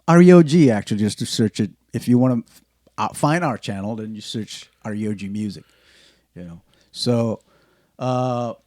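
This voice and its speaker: A man with an Indonesian accent hosting a casual podcast chat, talking in halting starts with many restarts. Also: medium pitch, mellow, no room sound, clean studio sound. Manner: halting, many restarts and fillers, laughs often. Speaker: podcast host.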